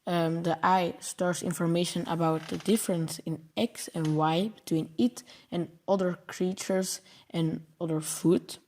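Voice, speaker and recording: Slow, hesitant man with a Belgian Dutch accent. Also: a little high, steady tone, darkish tone. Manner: hesitant, stumbles over long words and restarts. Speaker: programming channel host. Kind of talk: explaining a coding project over slides.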